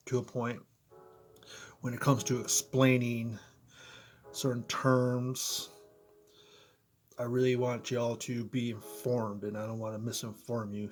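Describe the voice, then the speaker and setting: A man in his apartment, speaking close to the camera, his voice a bit hoarse, chatting casually with viewers and often asking "right?". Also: medium pitch. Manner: conversational, talks straight to viewers, frequent "you know" and "right?". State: slightly hoarse. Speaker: motivational vlogger. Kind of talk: casual explainer vlog. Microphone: close to camera, in front of computer.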